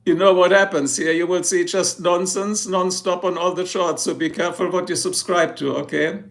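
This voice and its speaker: A man's voice: high voice, steady tone, normal speed, vocal fry. A man with a German accent hosting a livestream, chatting casually with viewers in a joking, rambling way and laughing often. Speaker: livestream host.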